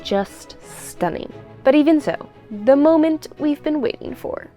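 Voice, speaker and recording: A woman narrating a vlog in an excited, gushing and exclamatory way. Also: high voice, measured pace, soft background music. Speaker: vlogger and seamstress.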